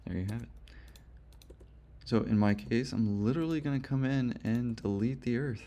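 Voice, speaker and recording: A hoarse young man giving a casual, rambling software tutorial, correcting himself often as he goes. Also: mid-range voice, moderate pace. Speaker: instructor. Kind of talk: screen-recorded software tutorial.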